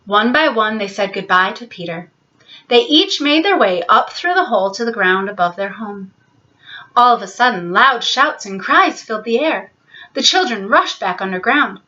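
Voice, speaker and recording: A woman storyteller giving an expressive, scripted narration of a children's bedtime story. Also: a little high, normal speed, muffled recording.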